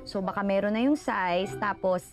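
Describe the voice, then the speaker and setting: A woman's voice: mid-range voice, moderate pace, music in the background, close to an everyday voice. A woman playing an awkward, cynical young job applicant in a comedy film, hesitant and casual. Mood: rambling, offhand. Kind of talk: naturalistic comedy film acting.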